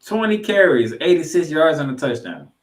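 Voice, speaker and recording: A man's voice: a little high, sing-song, normal speed. A man hosting a sports podcast, giving recap commentary with stats and emphatic delivery of names and numbers. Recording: roomy, echoing room sound.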